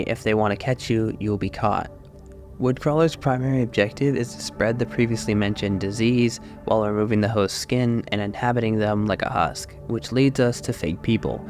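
A man with a fairly low voice reads a scripted analytical narration into a close microphone. Faint music plays behind him as he explains things like a video essay host.

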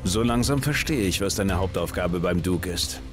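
A man's voice: deep voice, lively intonation, normal speed. A man playing a tough action hero in a video game, with a dry, laconic and wry delivery. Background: music.

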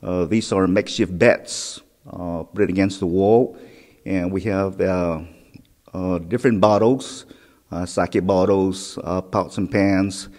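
A middle-aged man explaining a site, speaking haltingly with many fillers, recorded inside a cave. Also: low voice, steady tone, a little mellow. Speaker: historic preservation officer. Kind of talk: on-site interview. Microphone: handheld mic held close. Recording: long cave reverb.

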